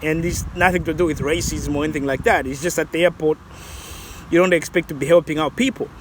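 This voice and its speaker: A man's voice: fairly high pitch, fairly even intonation, quick pace, a little hiss or hum, rough recording. A man, talking casually and reflectively in a vlog, rambling with many restarts. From Zambia. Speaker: vlogger.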